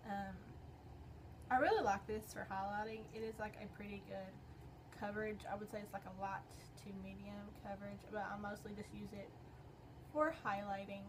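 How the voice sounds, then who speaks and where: Young woman, a bit hoarse, rambling chatty makeup talk, noisy home audio. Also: medium pitch, level tone, normal speed. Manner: rambling, chatty, lots of fillers. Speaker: beauty vlogger. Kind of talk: casual makeup tutorial talk-through.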